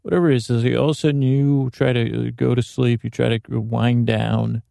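Gravelly, mellow-voiced sleep podcast host. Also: male voice, medium pitch, little pitch movement, no echo, studio quality. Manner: slow, meandering, self-interrupting. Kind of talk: rambling bedtime monologue.

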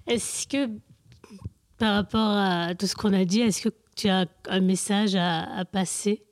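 Female podcast host, interviewer, slow and easygoing delivery. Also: mid-range voice, steady tone. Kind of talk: casual interview chat.

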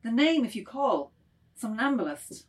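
A woman's voice: on the high side, big pitch swings, a little slow. A woman playing a kindly, anxious middle-aged man in a dramatic table reading, speaking in clipped, musing fragments. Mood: anxious calling.

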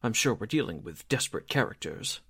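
A man playing a fussy, haughty theatrical producer with a husky voice in a 1940s-style radio drama. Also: medium pitch, big pitch swings, fairly quick, a bit bright, heavily acted.

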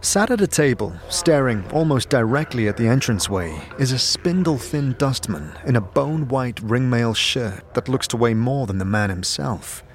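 A man narrating a fantasy audio drama in the second person, with a measured, descriptive storytelling delivery. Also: mid-range voice, lively intonation, a little hiss or hum. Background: background music.

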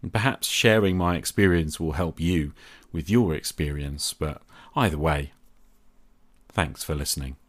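A man hosting a podcast, speaking reflectively in deliberate short phrases and posing rhetorical questions. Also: extremely low pitch. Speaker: podcast host. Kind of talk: reflective solo podcast monologue.

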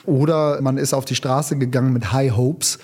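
A man in his fifties, born in northern Italy and raised in Germany, telling lively stories as a musician guest in an interview. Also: medium pitch, slightly rough. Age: 50s. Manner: lively anecdotal storyteller, quick bursts. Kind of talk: casual storytelling interview.

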